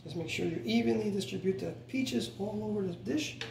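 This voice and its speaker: A middle-aged man walks viewers through a home recipe in a relaxed, instructive tone. He often corrects himself as he goes. He is recorded by a camera across a bright home kitchen, so the sound is roomy, distant and carries background noise. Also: sits high, a little fast, gravelly. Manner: relaxed, instructive, self-correcting, repeats "go ahead and". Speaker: home cooking show host. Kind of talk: casual step-by-step cooking demonstration. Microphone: camera mic from across the kitchen.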